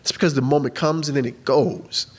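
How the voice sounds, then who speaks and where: A man's voice: on the high side, steady tone, fairly quick, slightly rough. Guy on a film panel, chatty and emphatic, lots of "right?", big hall reverb. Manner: conversational, emphatic, frequent "right?". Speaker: panelist, casting and film producer. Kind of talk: panel discussion answer.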